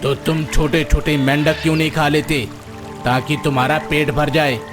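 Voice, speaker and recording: A man's voice: fairly high pitch, little pitch movement, moderate pace, natural voice. An actor voicing a gullible, self-important frog king in a cartoon moral story, with a regal, curious manner. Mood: concerned. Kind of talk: animated Hindi moral story dialogue. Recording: music behind the voice.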